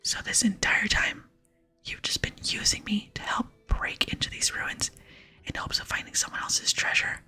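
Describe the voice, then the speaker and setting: An earnest, naive fantasy game adventurer, read by a woman, speaks in a puzzled way. The voice is a bright, very breathy whisper with music underneath. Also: moderate pace. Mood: bewildered.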